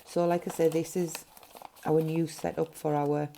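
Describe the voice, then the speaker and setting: A middle-aged woman with a gruff voice, chatting casually as a budgeting vlogger while counting money at a table at home. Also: low voice, little pitch movement, measured pace, dark tone, slight background noise. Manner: chatty, counting notes under her breath. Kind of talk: casual cash-stuffing vlog narration. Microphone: overhead camera framing hands.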